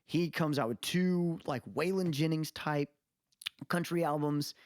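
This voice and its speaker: A man's voice: on the high side, unhurried, dry recording, clean studio sound. A man co-hosting a podcast, talking casually and opinionatedly about music in a rambling, storytelling way. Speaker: podcast co-host.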